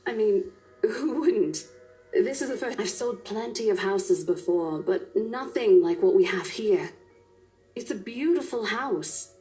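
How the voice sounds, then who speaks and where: A woman's voice: medium pitch, expressive, a little slow, mellow, faint music behind, natural voice. A woman voicing a caring, firm young estate agent in a visual novel game, earnest and insistent as she coaxes a coworker.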